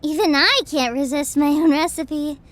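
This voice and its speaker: Very high-voiced, vulnerable young woman, acted out by a woman. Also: normal speed, natural voice. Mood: tender, caring. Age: young adult. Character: emotional young woman, anxious, self-doubting, devoted best friend. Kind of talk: roleplay audio drama acting.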